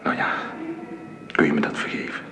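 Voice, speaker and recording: An actor playing a tender, wry and contrite romantic suitor in a Dutch radio play. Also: male voice, normal speed, a crowd in the background, lightly acted. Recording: roomy, noisy old radio recording.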